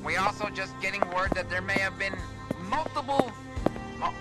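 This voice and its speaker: An actor plays a male radio newsman giving a flustered bulletin in a radio drama. His pitch is high for a man. Loud music runs behind the report. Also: moderate pace, close to an everyday voice. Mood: tense, flustered. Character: flustered radio news reporter, breathlessly relaying updates. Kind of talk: radio news bulletin acting.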